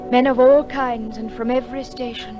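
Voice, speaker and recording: Actress as high-voiced, solemn female storyteller. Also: fairly even intonation, close to an everyday voice. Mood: solemn, wistful. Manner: measured, lyrical, reverent. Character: solemn female storyteller who witnessed a wartime legend. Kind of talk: scripted dramatic narration. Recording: music behind the voice.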